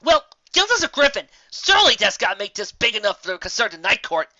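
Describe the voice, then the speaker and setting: Hot-headed pegasus pony played by a woman, storybook character, bright and forceful, pitch leaping in blunt indignation. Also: big pitch swings, a little fast, dry recording, dull audio. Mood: indignant. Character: hot-tempered, fed-up young female pegasus pony.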